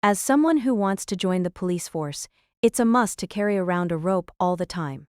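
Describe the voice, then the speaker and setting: A woman playing a confident teenage girl who aspires to police work, brisk and deadpan, in an audio drama. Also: on the low side, smooth, dry recording, clean studio sound, lightly acted. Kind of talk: anime-style audio drama acting.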